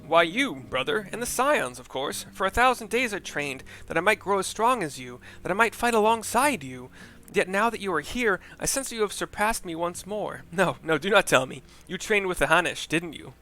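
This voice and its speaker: Man playing a proud, declaiming fantasy warrior, bright-voiced. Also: high-pitched, animated, normal speed, pitched above the performer's own voice. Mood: proud, fraternal. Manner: formal, declamatory. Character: proud, hearty warrior brothers in a fantasy game. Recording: music behind the voice.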